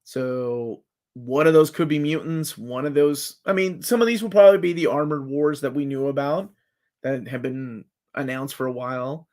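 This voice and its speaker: A man in his forties hosting a casual live chat, talking excitedly like a fan as he reads and answers comments. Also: mid-range voice, normal speed. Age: 40s. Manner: excitable fan, jumps between comments and guesses.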